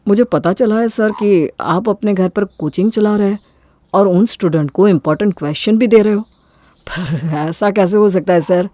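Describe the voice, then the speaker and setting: A woman's voice: medium pitch, normal speed, warm tone, dry recording, natural voice. A woman voicing an earnest, devoted young male college student, insistent in manner, in an audio story. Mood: confronting.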